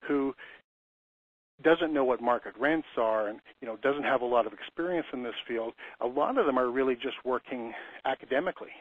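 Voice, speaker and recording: A man's voice: medium pitch, a little fast, no room sound. A man in his fifties giving an earnest, conversational webinar talk as a lease consultant, often restarting his sentences. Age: 50s. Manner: earnest, storytelling, frequent restarts and repeated words. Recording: tinny phone-line audio.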